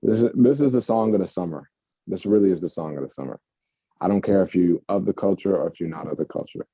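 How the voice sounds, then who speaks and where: A man's voice: low voice, fast talker, dry recording, dull audio. A man co-hosting a casual podcast, chatting loosely and breaking into bits of song. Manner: breaks into off-key singing of song snippets. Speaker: podcast co-host. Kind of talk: casual chat.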